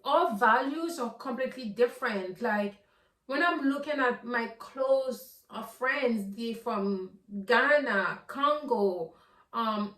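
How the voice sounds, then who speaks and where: A woman's voice: a little high, a bit bright. A woman from Haiti, hosting a podcast as an earnest solo talk. She sounds preachy and often repeats words as she builds toward a point. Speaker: podcast host. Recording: roomy sound from a distant mic.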